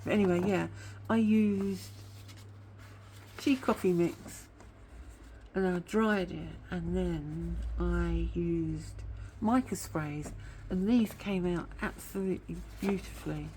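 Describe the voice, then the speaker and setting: A woman with a somewhat low voice talks through her craft work at home in a loose, rambling way. A sore tooth gives her voice a slightly whiny edge, and a faint hum sits underneath. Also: fairly even intonation, takes it slowly, mellow, low-quality recording. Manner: chatty, rambling, admits to waffling. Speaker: craft vlogger. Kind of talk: casual craft show-and-tell chat.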